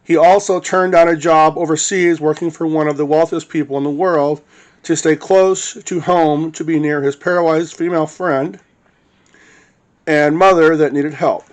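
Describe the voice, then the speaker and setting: A man with a fairly high-pitched voice reads a written witness statement aloud. He acts as the narrator, delivering prepared text. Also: fairly even intonation, moderate pace.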